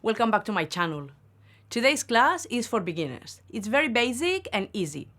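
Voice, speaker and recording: A woman hosting a beginner Spanish lesson, saying each word slowly and clearly, then repeating it for learners. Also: fairly high pitch, sing-song, fairly bright tone. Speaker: Spanish teacher, channel host.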